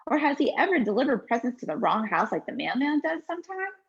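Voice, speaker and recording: Woman, author reading her picture book, expressive storytelling, gravelly voice, lo-fi dull recording. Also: sits high, fast. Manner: expressive storytelling, relaxed with fillers when answering. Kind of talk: read-aloud storytelling, then interview answers.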